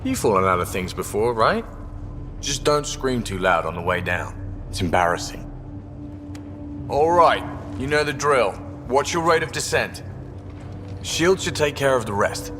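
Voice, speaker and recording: An actor playing a teasing, commanding squad veteran in a video game. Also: male voice, medium pitch, very animated, measured pace, long pauses, big-room sound, noisy background. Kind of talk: video game cinematic acting.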